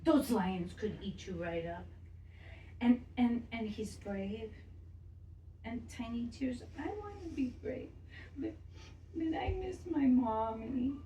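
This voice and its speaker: Lady doing a shy sad little girl, halting and slow, echoey far-off room. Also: on the high side, a little hiss or hum, voice pushed up. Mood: wistful. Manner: hesitant, halting, soft. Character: lonely little girl missing her mother.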